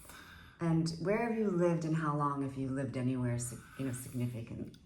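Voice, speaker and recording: A woman's voice: a bass voice, lively intonation, brisk, a little mellow, a little hiss or hum. A woman interviewer asking questions in a hesitant, searching way with restarts. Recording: roomy echo.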